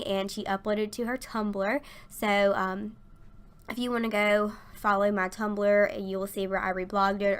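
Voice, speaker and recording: A young woman chatting casually through a game voice-over, her voice a little raspy from a sinus infection. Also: medium pitch, a bit bright. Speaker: gaming YouTuber.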